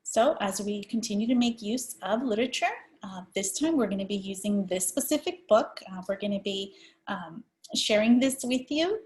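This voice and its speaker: A woman's voice: mid-range voice, animated, normal speed, darkish tone. A woman in her forties from El Salvador, giving an early-education training presentation in an explanatory, personal manner.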